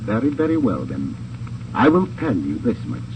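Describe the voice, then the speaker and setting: An actor playing an imperious, scheming German gang boss in a 1950s radio crime drama, with stiff, condescending, commanding phrasing. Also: male voice, mid-range voice, sing-song, moderate pace. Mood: smug scheming. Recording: muffled, noisy old radio recording, distant.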